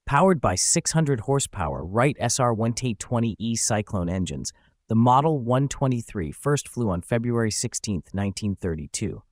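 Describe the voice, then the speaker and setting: A man narrating a scripted aviation history video in an even, factual reading. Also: medium pitch, normal speed, no echo, studio quality.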